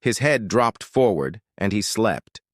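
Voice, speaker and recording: A man narrating a folktale as a storyteller, expressive and dramatic, with deliberate emphasis. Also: lowish voice, no room sound, studio quality.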